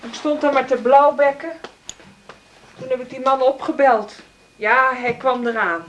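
A woman's voice: high-pitched, fairly even intonation, a little slow, a bit bright, lo-fi sound. An actress playing an anxious single mother in a naturalistic radio play, speaking in a hesitant, faltering way. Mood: concerned.

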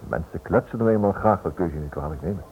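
An actor playing a smooth, prying writer in a radio play, polite but insinuating and persistent. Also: male voice, deep voice, quick pace, natural voice. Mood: insinuating, probing. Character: smooth, prying male writer probing a prisoner's wife. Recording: noisy, dull old radio drama recording.

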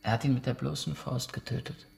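An actor playing a guarded, proud military contractor under interrogation in a naturalistic radio drama, terse and evasive. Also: male voice, medium pitch, steady tone, brisk, creaky, darkish tone. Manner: terse, evasive answers, flaring into denial.

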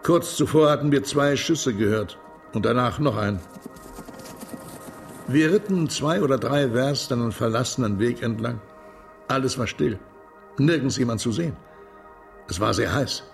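A man's voice: mid-range voice, normal speed, gravelly, pauses often, faint music behind, lightly acted. An actor playing a Russian émigré ex-cavalryman who reminisces animatedly in a German radio drama. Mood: grave recollection.

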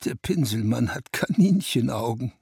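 An actor playing a proud, brooding, weary seventeenth-century ruler in a radio play, pompous in manner, with a gravelly, husky voice. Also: male voice, mid-range voice, animated, darkish tone, no room sound, studio quality. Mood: scornful. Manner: pompous, slow, speaks in royal we. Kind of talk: German radio play monologue.

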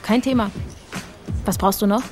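A woman's voice: medium pitch, fairly even intonation, normal speed, over music, lightly acted. Actress as a cool, unfussy female computer expert. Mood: casual, attentive. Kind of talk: German radio crime drama acting.

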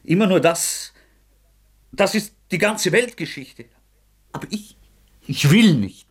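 A tormented, defiant young murderer in a radio drama. His husky voice is agitated and halting, swinging between hushed whispers and outbursts. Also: sits high, lively intonation, full character voice. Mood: fervent, tormented. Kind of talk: German radio drama acting.

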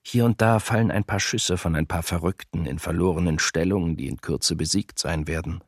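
A man's voice: low-pitched, brisk, husky, no room sound, studio quality. An actor playing a cold, self-assured male wartime soldier reading his diary in a documentary radio drama. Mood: cold, matter-of-fact.

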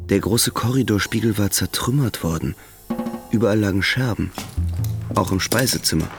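An actor as the sober storyteller of a grim radio drama, narrating in a measured way. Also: male voice, fairly low pitch, vocal fry, over music. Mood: sober, grave.

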